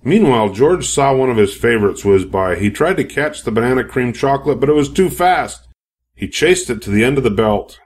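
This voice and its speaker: An older man reading a children's picture book aloud as an expressive storyteller. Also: mid-range voice, fairly quick. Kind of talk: scripted narration, picture book read-aloud.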